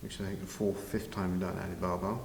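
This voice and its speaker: Interviewee: older man, very low voice, halting and measured, recorded in a reverberant hall. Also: flat delivery, vocal fry. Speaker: interviewee, village pantomime organiser. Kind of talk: informal interview answers. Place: large village hall, indoors. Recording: very echoey hall, faint noise.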